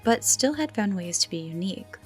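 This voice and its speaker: A woman delivers scripted horror commentary at a medium pitch. She stresses words for drama and often lets the ends of phrases fall to a breathy hush, while music plays behind her. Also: lively intonation, brisk. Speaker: video essay host.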